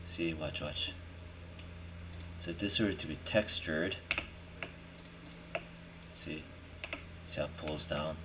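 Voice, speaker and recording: A man thinking aloud in a casual screen-recorded software tutorial, muttering in short, slangy fragments as he works. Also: very deep voice. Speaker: 3D modeling tutorial host. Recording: clear background noise, keyboard and mouse clicks. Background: typing and mouse clicking.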